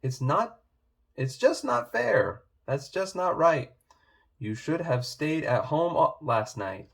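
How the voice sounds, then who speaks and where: A man's voice: on the high side, expressive, slow, vocal fry, heavily acted. A man voicing a vain, whiny, fawning young stepsister in a children's fairy-tale storybook. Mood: whining. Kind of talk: children's storybook read-aloud.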